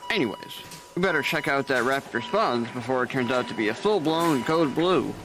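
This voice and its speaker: A man's voice: medium pitch, expressive, a little fast, over music, lightly acted, low-quality recording. A man playing a burned-out senior hospital nurse who mentors new staff with sarcastic grumbling. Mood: weary sarcastic. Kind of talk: audio drama acting.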